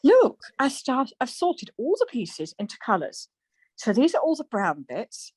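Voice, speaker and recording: Bright, huffy wife with expressive high-ish voice , acted by a woman. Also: husky, no echo, full character voice. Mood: bright, eager. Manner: chirpy, indignant, quick to retort. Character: Margaret, bright, stubborn, easily huffy wife in lockdown. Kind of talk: naturalistic radio play acting.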